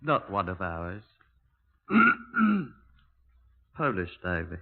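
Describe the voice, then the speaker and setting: A man's voice: sits low, animated, slow, vocal fry, heavily acted. A tinny vintage radio recording of a young landlord. He sounds stuffed up with a cold and answers in curt, grudging scraps, thoroughly uncooperative. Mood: surly. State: congested with a cold. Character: surly, cross-eyed young lodging-house proprietor with a cold. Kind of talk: 1950s radio drama acting. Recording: thin, band-limited 1950s radio recording.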